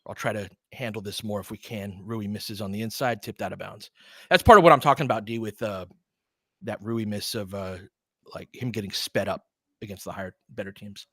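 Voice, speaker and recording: A man's voice: fairly low pitch, brisk, no room sound, studio quality. A man hosting a casual fan livestream, calling basketball in short clipped phrases mixed with chatty asides. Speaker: play-by-play host.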